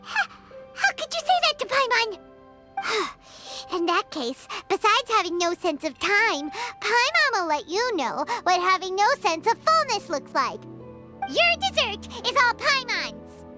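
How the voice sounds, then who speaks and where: Tiny greedy fairy sidekick for a game: shrill, childish, extremely high-pitched. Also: female voice, big pitch swings, a little slow, full character voice. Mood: indignant. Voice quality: squeaky, shrill. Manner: whiny, refers to herself in third person. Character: tiny childish female fairy companion, gluttonous and indignant. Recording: music behind the voice.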